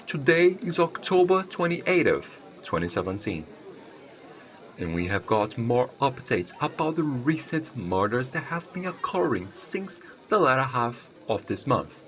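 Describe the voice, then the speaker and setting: A man playing a male news reporter in an audio drama, giving grave bulletins in a measured, formal manner. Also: mid-range voice, sing-song, a bit bright, some chatter behind, poor audio. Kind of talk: scripted news bulletin acting.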